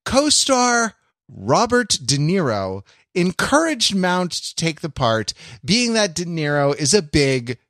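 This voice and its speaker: A male podcast host with a slightly high, lively voice that moves around a lot. He chats casually and wanders into mock-earnest, wry digressions. The sound is dry, with hardly any room in it. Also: takes it slowly, no echo. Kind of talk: casual humorous pop culture chat.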